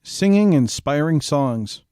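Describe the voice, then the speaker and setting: Casting: male game-stream host, slightly high voice, informal and jokey chatter, close dry microphone. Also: expressive, slow pace, warm tone, clear, resonant tone, dry recording. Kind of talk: casual game stream chat.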